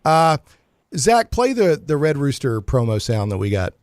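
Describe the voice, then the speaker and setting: A man's voice: medium pitch, sing-song, a little mellow, no room sound. Informal man hosting radio, close and dry. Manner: informal, halting, repeats words. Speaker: radio show host. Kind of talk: casual live radio chat.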